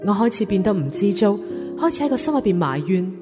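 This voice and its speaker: A woman's voice: mid-range voice, very animated, fast talker, close to an everyday voice. A woman playing a troubled young office worker who confides her love story in an introspective, first-person radio drama narration. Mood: wistful. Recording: long reverb over music. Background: plucked guitar music.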